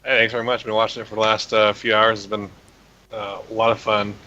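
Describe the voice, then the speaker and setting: A tired game developer, a man whose voice is a little raspy, rambling with many fillers as a guest on a call. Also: fairly low pitch, flat delivery, fast talker, bright, forceful tone. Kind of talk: casual interview over call. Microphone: remote voice call. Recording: dull hissy call audio.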